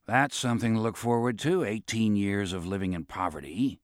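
A man's voice: lowish voice, normal speed, no room sound, clean studio sound, lightly acted. A man voicing a brash, greedy male lawyer who needles and swears bluntly in an audiobook. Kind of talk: audiobook dialogue reading.

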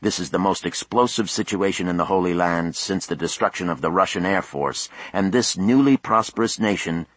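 A man's voice: low voice, normal speed, few pauses, a bit bright, no echo. A man voicing an urgent on-the-scene television reporter in an audiobook, with formal, projected broadcast delivery.

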